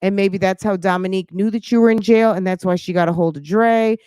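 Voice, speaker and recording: A woman's voice: mid-range voice, brisk, a little mellow, smooth, dry recording, clean studio sound. A woman hosting a casual commentary show, thinking aloud in a sassy, pointed way with rhetorical questions and emphatic repetition.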